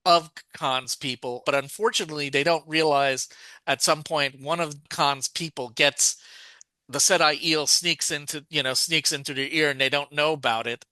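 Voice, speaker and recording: Bright, crisp-voiced man recapping a story. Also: on the high side, fairly even intonation, normal speed, dry recording, rough recording. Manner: recaps plot at length, thinking aloud with restarts. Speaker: podcast co-host. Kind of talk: casual podcast discussion.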